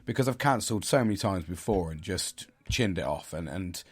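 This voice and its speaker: Podcast host, middle-aged man from the United Kingdom, husky and low-pitched, halting casual delivery. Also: lively intonation. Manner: hesitant, many fillers and restarts. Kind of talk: casual solo podcast talk.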